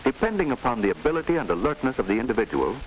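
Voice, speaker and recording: A man's voice: medium pitch, big pitch swings, rapid-fire, poor audio. An American man reading scripted narration for a 1940s educational film. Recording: hissy 1940s film soundtrack.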